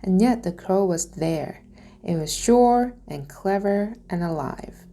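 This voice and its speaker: A woman reading a storybook aloud as narration, in a careful, deliberate manner. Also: medium pitch, expressive, mellow. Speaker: reader.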